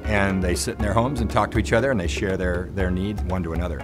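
A man's voice: sits low, steady tone, fast. A man giving unscripted documentary interview narration about his charity ministry work. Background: guitar music behind the voice.

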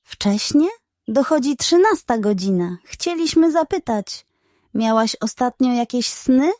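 A woman's voice: a little high, expressive, a little slow, darkish tone, no echo, studio quality. A woman voicing a brave, earnest young male kitten, the determined hero of a children's storybook. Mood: worried.

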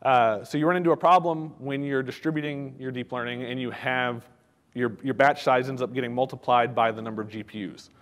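A man giving a technical conference talk in a casual, halting way, with many fillers and restarts. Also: medium pitch, steady tone, long reverb tail. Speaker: conference speaker. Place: conference hall.

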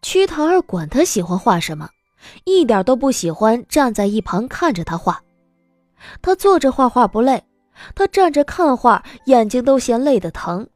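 Animated female audiobook narrator. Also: on the high side, normal speed, no echo, faint music behind.

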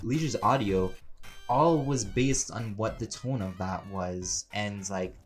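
A man chatting casually and playfully as a voice actor answering listener questions in a rambling Q&A. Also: on the low side. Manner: playful, chatty, laughs often, animated emphasis.

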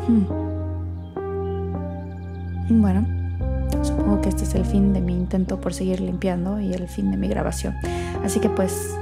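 A woman's voice: medium pitch, lightly acted. A woman with a Mexican accent acting a resentful young woman who hesitantly and sarcastically talks to herself in an audio drama. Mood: curious. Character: wry, resentful young woman recording her thoughts alone. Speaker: lead actor in audio drama. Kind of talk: naturalistic audio drama monologue.

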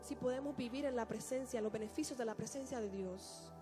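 A woman's voice: a little high, fast talker, music in the background. A woman interpreting a sermon earnestly into a handheld microphone on a church stage.

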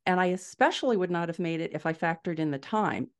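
A middle-aged woman with a low voice speaks as a guest in a conversational interview. She explains her points step by step and leans on the key words. She is recorded over a video call from a room at home. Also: animated, fast talker, clean tone. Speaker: podcast guest, indie fiction author. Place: small furnished room at home, indoors.

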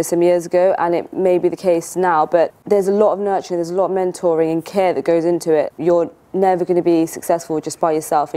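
A woman's voice: on the low side, warm tone. A young woman student answers interview questions outdoors, hesitant and full of fillers. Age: 20s. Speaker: student and cadet leader. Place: outdoors on a campus.

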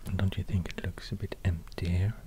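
A man with a Swedish accent speaking in soft ASMR whispers, halting and murmuring as he goes. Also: sits low, little pitch movement, heavy background noise. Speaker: ASMR video host. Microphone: close binaural microphone.

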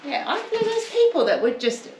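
A woman's voice: very high-pitched, big pitch swings, normal speed, darkish tone. A middle-aged woman chatting casually and enthusiastically as she shows viewers around on a walk-through tour. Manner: enthusiastic, easily impressed. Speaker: vlogger showing viewers around. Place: walking outdoors and through open bush shacks. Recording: noisy on-the-move camera audio.

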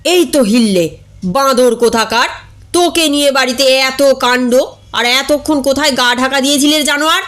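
A woman voicing a brash, brusque youth in a comic story, offhand and quick to scold. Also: high-pitched, a bit bright. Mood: exasperated scolding.

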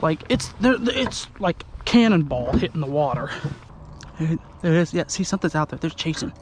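A man's voice: fairly high pitch, very animated, normal speed, a little hiss or hum. A young man vlogging from a small boat on a lake, chatting and explaining his fishing rig as he works. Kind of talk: casual fishing vlog narration. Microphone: action camera on boat.

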